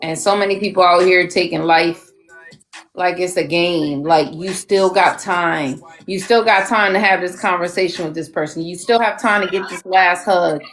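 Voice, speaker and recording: A woman in her forties hosting a podcast, talking candidly and laughing easily, slowing down to make her points. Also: on the low side, fairly bright tone. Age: 40s. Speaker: podcast host. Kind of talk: casual chat.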